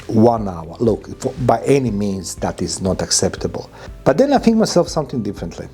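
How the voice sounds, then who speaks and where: A man's voice: medium pitch, warm tone, husky. A man in his fifties from Croatia, speaking non-native English with a Croatian accent, telling travel stories emphatically as a vlogger. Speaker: vlogger and podcast host. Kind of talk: informal travel vlog monologue to camera. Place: furnished indoor room, hotel-like.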